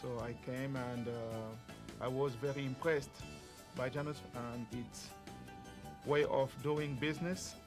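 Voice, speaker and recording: A man speaking slowly and haltingly in a friendly way in a corporate promo interview, his being Lingala. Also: medium pitch, steady tone. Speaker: transaction checker. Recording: music underneath.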